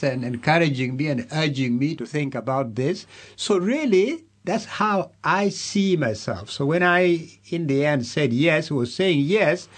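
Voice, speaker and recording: A middle-aged man from Uganda, giving measured, deliberate interview answers and repeating phrases for emphasis. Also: fairly high pitch. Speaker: candidate being interviewed.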